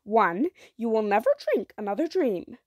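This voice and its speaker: A woman's voice: sits high, big pitch swings, a little slow, a little mellow, dry recording, clean studio sound, voice pushed up, full character voice. A woman voicing a protective mother in a storybook, stern and emphatic as she lays down rules.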